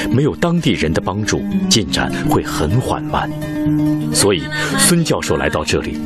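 Male narrator for radio drama: low voice, wide expressive intonation, scripted storytelling, faint music underneath.

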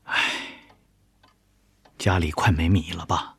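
An actor playing a weary, dutiful young fisherman husband in a radio drama, husky and sighing as he reassures. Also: low voice, fairly even intonation, takes it slowly, a bit bright, no echo, exaggerated voice. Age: 20s. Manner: soothing, sighing, earnest.

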